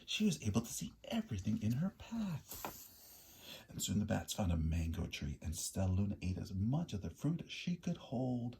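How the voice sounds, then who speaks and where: A man reading a picture book aloud in an animated, expressive storyteller way. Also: on the low side, slight background noise.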